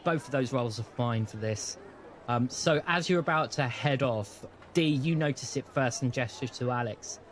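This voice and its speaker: A man's voice: mid-range voice, normal speed, a little hiss or hum. A man with a British accent narrating a tabletop role-playing game as game master.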